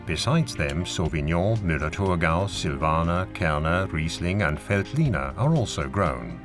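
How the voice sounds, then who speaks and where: Deep-voiced male documentary narrator over music. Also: expressive. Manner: measured, formal, informative delivery. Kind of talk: scripted narration, educational film. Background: music behind the voice.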